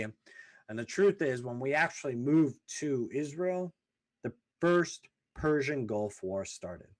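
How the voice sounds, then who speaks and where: A man in his thirties hosting a podcast, telling his family's immigration story in an earnest, emphatic way, stacking lists and repeating phrases for weight. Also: mid-range voice. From former Soviet Union, raised in the US. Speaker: podcast host. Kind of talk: personal storytelling monologue.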